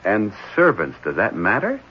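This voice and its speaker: A kindly, concerned gentleman in a vintage radio play, with a lowish voice and lively intonation. He questions gently but seriously. The old broadcast sounds muffled. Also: normal speed. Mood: gentle, curious. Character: concerned, kindly married man, prospective foster father, Mister Carlin. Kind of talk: old-time radio drama acting. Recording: dull, noisy old radio broadcast recording.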